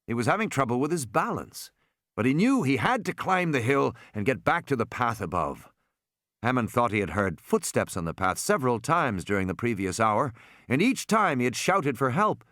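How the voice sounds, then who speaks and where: A man narrating a suspense novel as an audiobook, in an expressive, dramatic delivery. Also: medium pitch, no room sound, studio quality. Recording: added music and sound effects underneath. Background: music and sound effects.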